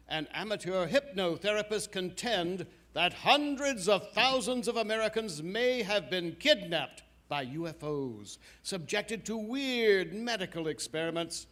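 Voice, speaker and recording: A man's voice: high-pitched, fairly bright tone. A man playing an ominous TV mystery documentary narrator, dramatic and portentous, in a live audio drama.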